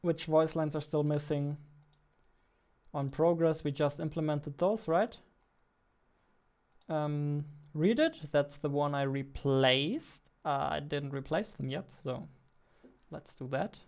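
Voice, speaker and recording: A man's voice: on the high side, slow pace, darkish tone. A man in his twenties, a game developer, muttering to himself in short fragments as he casually works through code. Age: 20s. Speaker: indie game developer, host. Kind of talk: casual thinking-aloud coding devlog. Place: indoors at a computer desk. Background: faint music, keyboard typing and clicking.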